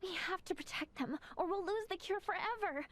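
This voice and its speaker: A woman's voice: extremely high pitch, brisk, no room sound. An actress playing an earnest, anxious young woman pleading in a video game cutscene. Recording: game cutscene with music behind.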